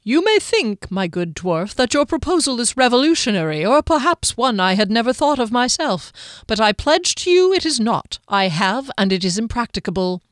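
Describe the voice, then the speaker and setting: Woman playing a high-pitched, pompously courtly halfling man. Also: big pitch swings, moderate pace, no echo, clean studio sound. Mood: smug, theatrical. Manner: pompous, archaic, elaborately polite phrasing. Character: smug, courtly, flowery-tongued male halfling trickster.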